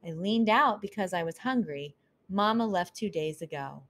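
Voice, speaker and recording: A woman's voice: on the low side, animated, moderate pace, fairly bright tone. A woman voicing a young, cranky, hungry boy owlet who whines and complains. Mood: whiny.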